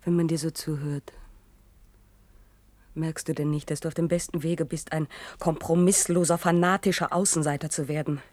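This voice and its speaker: A woman's voice: low-pitched, steady tone, moderate pace, darkish tone, no room sound. An actress playing a sensible young woman who admonishes her partner in a German science-fiction radio drama. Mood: reproachful, urgent. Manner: admonishing, pragmatic. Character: sensible, cautioning young woman, dissident's girlfriend.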